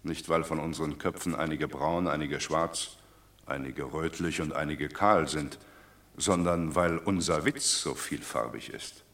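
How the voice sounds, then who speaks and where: An actor playing a shrewd Roman commoner, reasoning wryly in a classic radio drama. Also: male voice, rumbling low, a little slow, fairly bright tone, full character voice.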